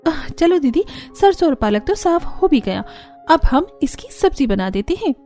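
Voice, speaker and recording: A woman's voice: high-pitched, sing-song, moderate pace, dark tone, soft background music, pitched above the performer's own voice. A woman voicing a naive young newlywed daughter-in-law who exclaims and questions in a cartoon story. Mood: cheerful.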